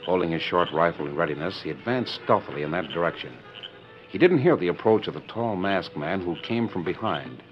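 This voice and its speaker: An actor as the narrator of a western adventure, giving dramatic scripted 1940s radio narration. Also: male voice, low voice, expressive, brisk, soft background music. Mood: suspenseful. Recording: muffled 1940s radio recording.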